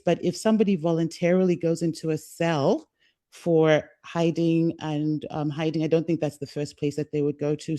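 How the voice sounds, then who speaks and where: A woman's voice: deep voice, dark tone, no echo. A woman from Zambia who lives abroad, making an earnest, urgent appeal as a guest speaker at an online press conference. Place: home, based abroad.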